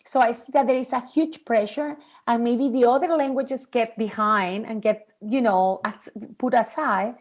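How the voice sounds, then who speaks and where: Earnest Venezuelan-born woman, fairly high voice. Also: moderate pace, few pauses. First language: Spanish. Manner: earnest, animated, acts out her children's voices. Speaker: podcast guest, bilingual parenting expert. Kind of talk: interview conversation.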